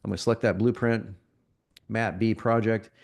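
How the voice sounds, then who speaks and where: A man getting over a cold, presenting a live marketing software demo to an audience in a conversational, salesy way, often restarting his sentences. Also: on the low side, fairly even intonation, moderate pace, gravelly.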